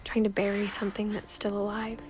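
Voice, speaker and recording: An actress playing a pensive young woman narrating a video game story in a soft, hushed, thoughtful way. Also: medium pitch, monotone, brisk, background noise, lightly acted. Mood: pensive, subdued. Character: pensive young woman, last of her family. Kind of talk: introspective scripted game narration.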